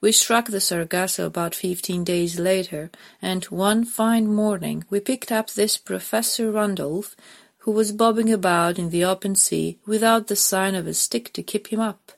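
A woman's voice: mid-range voice, normal speed, vocal fry. A woman reading a story aloud as scripted audiobook narration. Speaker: volunteer audiobook reader.

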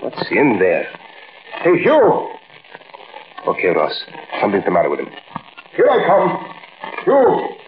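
An actor with an American accent playing an uneasy, rough small-time crook in a 1940s radio drama, talking in clipped slang. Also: male voice, on the low side, huge pitch swings, slow pace, pauses often, heavily acted. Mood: anxious calling. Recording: tinny, echoey old radio with hiss.

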